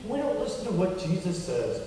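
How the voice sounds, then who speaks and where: An echoey hall carries the mellow, high voice of an earnest puppet fellow played by a man. He is patient and kindly, explaining like a gentle teacher in a lively, swooping children's show delivery. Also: brisk. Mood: earnest, encouraging. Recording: echoey hall from far off, faint noise.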